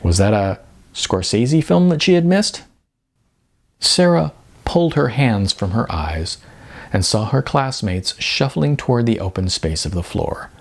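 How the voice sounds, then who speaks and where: A man's voice: medium pitch, expressive, unhurried, gravelly. A man narrating comic fiction expressively, with a wry, emphatic, sardonic delivery. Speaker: audiobook narrator.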